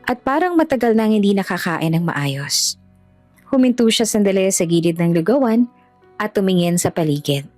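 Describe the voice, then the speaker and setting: A woman narrates a scripted audio story. Her voice sits at a middle pitch, and soft music plays behind her. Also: expressive, moderate pace, no echo.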